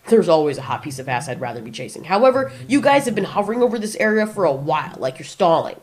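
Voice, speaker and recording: A woman's voice: lowish voice, sing-song, fast talker, a little mellow. A woman voicing a crude, grumpy male giant monster in a comic audio drama, blunt, taunting and sarcastic. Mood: sullen, snide.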